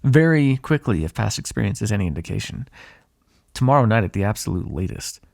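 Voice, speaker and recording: A man's voice: lowish voice, very animated, a little fast, vocal fry, darkish tone, heavily acted. A man playing a sardonic, foul-mouthed vampire on the run in an ASMR roleplay, talking in a conversational, exasperated way.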